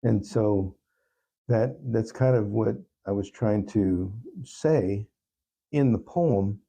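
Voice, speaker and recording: A man's voice: on the low side, slow, dark tone, a little hiss or hum, rough recording. A man speaking earnestly as an interview guest, reflecting on his songwriting and repeating phrases for emphasis. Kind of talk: reflective interview talk about own songwriting.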